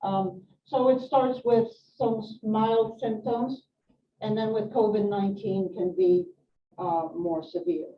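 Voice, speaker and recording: A woman's voice: mid-range voice, steady tone, slow. Middle-aged woman, echoey room. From Sweden and France. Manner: chatty, informal, many fillers and asides. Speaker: nurse educator. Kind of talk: live presentation to an audience. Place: hospital meeting room. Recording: echoey room, distant mic, dull sound, faint noise.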